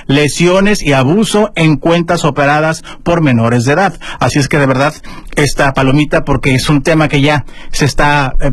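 A man with a Mexican Spanish accent hosting a casual radio news segment, chatting with his co-host and filling pauses with "eh". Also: fairly high pitch, dry recording. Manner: chatty, warm address to listeners, many fillers. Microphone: studio microphone.

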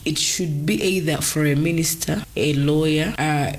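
A woman with a Ugandan accent and a very low voice. She talks in a blunt, matter-of-fact way, as in a casual clip. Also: moderate pace.

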